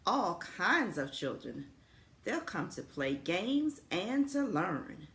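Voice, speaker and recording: Storybook janitor, kindly man read by a woman: reassuring, unhurried, animated intonation, echoey room. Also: on the low side, full character voice. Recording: roomy echo with faint background noise.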